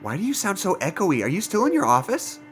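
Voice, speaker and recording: An agitated, impatient boss in an audio drama, thinking out loud. His fairly high voice swings widely in pitch. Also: wildly swooping pitch, fast talker, soft background music. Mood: impatient, suspicious. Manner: agitated, talking things through aloud. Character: impatient, rambling male leader on a radio.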